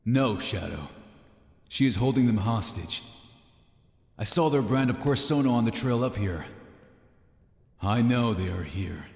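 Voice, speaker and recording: A man's voice: lowish voice, animated, measured pace, vocal fry, pauses often, a little mellow. A man playing a stern, hot-headed veteran vampire hunter in an audio roleplay, commanding and confrontational. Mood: angry. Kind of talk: dramatic audio roleplay acting. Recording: echoey hall reverb with music underneath.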